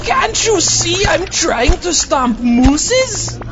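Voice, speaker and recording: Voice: very high voice, big pitch swings, extremely slow, highs cut off, over music, exaggerated voice. A performer voicing a crabby, confused foreign scientist who mangles English words in a comedy radio sketch. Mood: irritated, befuddled. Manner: exclaiming, muddled, comically mispronouncing words. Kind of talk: comedy sketch, mock radio news interview.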